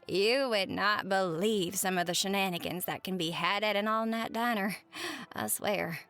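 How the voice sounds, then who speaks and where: A woman playing a cheerful, chatty waitress on a diner night shift in a roleplay audio, with a Southern US accent and a folksy, expressive manner. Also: mid-range voice, moderate pace, faint music behind, natural voice. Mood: chatty, amused. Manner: folksy, expressive, uses pet names. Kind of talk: roleplay monologue to the listener, chatty storytelling.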